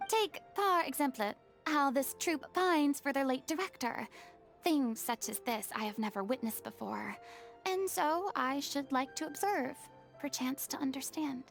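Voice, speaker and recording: High airy diva lady, grand and theatrical, soft game music under. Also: lively intonation, measured pace, gravelly, full character voice. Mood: lofty. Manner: lofty, theatrical, ornate phrasing. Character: grand theatrical former goddess, young woman. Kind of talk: game cutscene voice acting.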